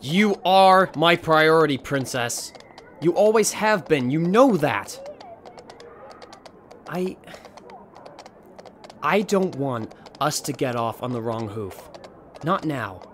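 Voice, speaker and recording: A man's voice: fairly high pitch, animated, slow pace, pauses often, slight background noise. A man playing an earnest, dutiful pony captain of the royal guard in a radio drama, formal and pleading in manner. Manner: formal, pleading, gentle with children. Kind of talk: fan radio drama voice acting.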